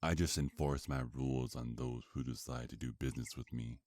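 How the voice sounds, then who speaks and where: A man playing a seductive, possessive vampire mafia boss in an ASMR roleplay, with a taunting, drawn-out, intimate murmur. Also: very deep voice, fairly even intonation, darkish tone, no echo. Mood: cold, self-justifying.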